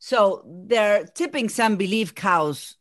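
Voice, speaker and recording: A woman in her forties from Argentina, a native Spanish speaker with an Argentine Spanish accent, talking as a podcast guest and executive coach in an energetic, think-aloud way. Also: medium pitch, slow, no echo, clean studio sound. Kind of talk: casual podcast conversation.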